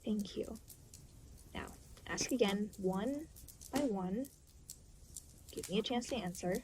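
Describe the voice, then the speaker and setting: A woman's voice: mid-range voice, takes it slowly, darkish tone, a little hiss or hum, close to an everyday voice, low-quality recording. A woman playing an aloof, reluctant, weary demon guide in an intimate audio roleplay, speaking in a dry, matter-of-fact, hesitant way. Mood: overwhelmed, patient. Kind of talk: intimate scripted audio roleplay spoken to the listener.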